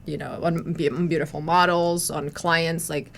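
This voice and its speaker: A woman's voice: sits low, little pitch movement, moderate pace, creaky, slight background noise. A woman in her thirties from Croatia, speaking English, chatting casually as a podcast guest with lots of fillers and restarts. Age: 30s. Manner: chatty, frequent restarts and "you know" fillers. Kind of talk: casual conversational storytelling.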